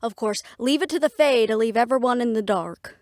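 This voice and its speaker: A woman's voice: a little high, brisk, a little mellow, dry recording, studio quality, lightly acted. A woman playing a defiant, fiercely independent half-fae daughter, blunt and sarcastic, in a fantasy audio drama. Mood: cold anger. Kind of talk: fantasy audio roleplay acting.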